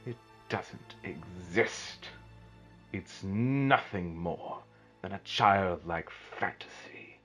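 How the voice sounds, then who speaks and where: A man playing a menacing, mocking evil sorcerer in an audio drama, with a theatrical, drawn-out, sneering delivery. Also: sits low, fairly bright tone, full character voice. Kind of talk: fan audio drama villain acting. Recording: dull, muffled recording with music behind.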